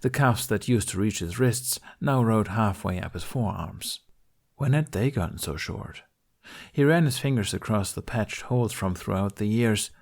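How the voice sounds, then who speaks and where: A man reading a fantasy story aloud as an expressive amateur narrator. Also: fairly low pitch, gravelly. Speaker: amateur podfic reader.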